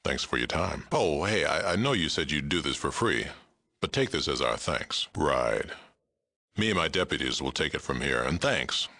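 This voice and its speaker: A man playing a gruff, aging super mutant sheriff in a video game, world-weary but fair-minded, with a gravelly rumble and a blunt, dry delivery. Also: lively intonation, moderate pace, pushed and bright, full character voice. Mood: businesslike, gruff. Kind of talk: video game character dialogue.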